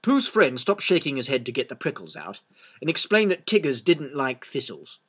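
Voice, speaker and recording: A man reading a children's story aloud as an expressive narrator. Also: mid-range voice, normal speed, breathy edge.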